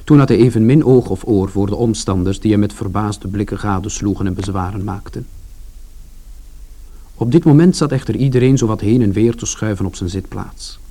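An actor playing a wry brother who narrates a family tale in a radio drama, in a measured storytelling manner. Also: male voice, fairly low pitch, warm tone. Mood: wry, reflective.

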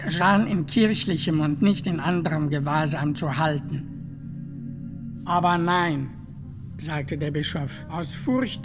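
An actor playing an earnest friar who testifies deliberately and formally in a radio play, with music underneath. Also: medium pitch, lightly acted. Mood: quoting emphatically.

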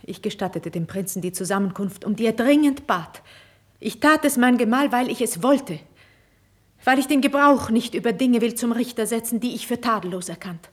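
An actress playing a dignified, defiant queen in a classical radio drama, proud and wounded, speaking in a stately, measured, declamatory manner. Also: on the high side, lightly acted. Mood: dignified defiance. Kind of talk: 1950s German classical radio drama acting.

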